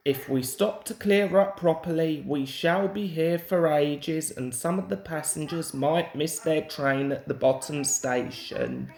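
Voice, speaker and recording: A grave, practical male railwayman from a storybook, as a woman reader puts him on. The voice is low and unhurried, doubtful and matter-of-fact, with room echo around it. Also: darkish tone. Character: doubtful, practical railwayman on a steam engine's crew.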